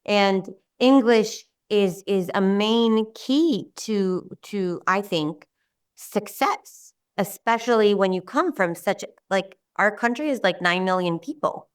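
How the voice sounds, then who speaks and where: Israeli woman speaking English, smooth voice. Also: mid-range voice, expressive, slow, recorded from a distance. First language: Hebrew. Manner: rambling, warm, with many restarts and fillers. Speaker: guest. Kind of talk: casual interview talk.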